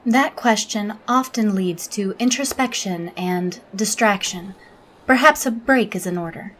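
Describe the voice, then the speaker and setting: A woman playing a logical alien officer in an audio drama, speaking in a measured, formal, unemotional manner. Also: mid-range voice, a bit bright. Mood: clinical, formal. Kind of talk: audio drama interview and log narration.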